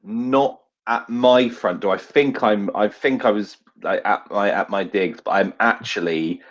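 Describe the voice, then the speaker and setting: A man's voice: on the low side, unhurried. A man playing a tabletop roleplay game, improvising comic narration in a casual, rambling way. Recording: dull, lo-fi game recording.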